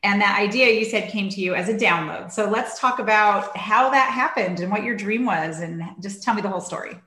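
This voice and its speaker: A woman's voice: mid-range voice, fairly quick, pushed and bright, roomy sound. A woman hosting an interview, asking encouraging questions with enthusiasm. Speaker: podcast host.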